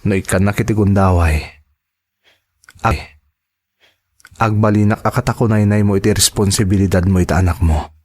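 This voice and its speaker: A man's voice: lowish voice, steady tone, moderate pace, pauses often, close to an everyday voice. An actor playing a devoted, reassuring male suitor in an Ilocano radio drama, with an Ilocano accent and tender, emphatic delivery. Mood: tender, pleading.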